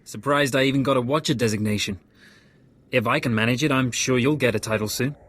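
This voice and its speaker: A nervous young male desk agent played by a man with a mid-pitched voice. He is awkward and apologetic, a timid analyst in a video game. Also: brisk, a bit bright, dry recording, natural voice.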